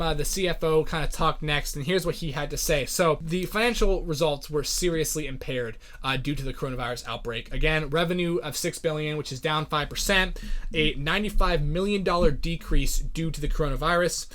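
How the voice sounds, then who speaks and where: Higher-pitched man explaining as podcast host. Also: fairly bright tone. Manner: explanatory, informal. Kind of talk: earnings call breakdown commentary.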